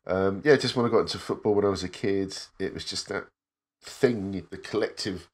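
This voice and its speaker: A man co-hosting a casual podcast, telling his story in a thoughtful, measured way. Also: low voice. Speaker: podcast co-host. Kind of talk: casual chat.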